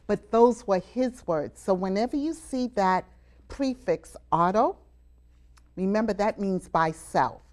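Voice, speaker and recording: A woman hosting a show with children, speaking in an emphatic, teacherly way and coaxing them with questions. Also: medium pitch. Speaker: TV show host leading students. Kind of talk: talk to children before an audience. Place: college venue, taped live with audience.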